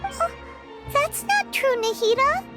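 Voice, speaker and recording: Squeaky, very high excitable little fairy. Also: female voice, takes it slowly, heavily acted. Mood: chatty. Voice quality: squeaky, childlike. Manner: exclamatory, animated, refers to self in third person. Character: tiny excitable female fairy companion, cheeky and blunt. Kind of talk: video game voice acting. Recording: loud music behind the voice. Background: game music.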